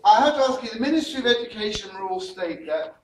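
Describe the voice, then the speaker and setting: An older man with a London accent leads a playful science demonstration for children, full of teasing asides. He is recorded from across a large, echoey room. Steady background noise sits under his voice. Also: sits high, fast. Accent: London English. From England. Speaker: science show presenter. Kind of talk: lively science demo for schoolchildren. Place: large school library room, indoors. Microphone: far camera. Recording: echoey room from far off, noisy.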